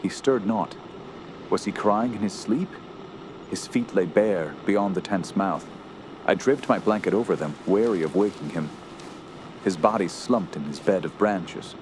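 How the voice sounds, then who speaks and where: An actor playing a fearful, haunted camper, narrating a horror tale in a solemn, measured and dramatic way. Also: male voice, low voice, noisy background. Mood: haunted, uneasy. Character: haunted, fearful male wilderness camper telling his tale. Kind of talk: scripted first-person horror narration.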